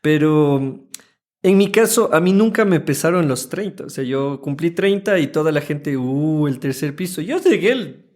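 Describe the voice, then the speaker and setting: A man in his thirties with an Ecuadorian Spanish accent, co-hosting a casual podcast chat in an animated, playful way. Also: fairly high pitch, moderate pace. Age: 30s. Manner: animated, chatty, playful teasing. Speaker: podcast co-host.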